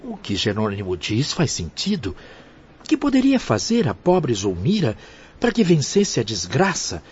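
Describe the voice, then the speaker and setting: Actor as very breathy, sympathetic companion spirit. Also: male voice, medium pitch, wildly swooping pitch, measured pace, darkish tone, heavily acted. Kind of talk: radio soap acting. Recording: dull lo-fi radio drama recording.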